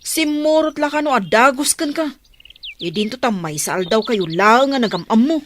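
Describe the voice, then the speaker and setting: A woman's voice: on the high side, animated, moderate pace, natural voice. A woman playing a concerned, talkative village woman who gives frank advice in an Ilocano radio drama. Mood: chiding. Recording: noisy track with birdsong and clicks.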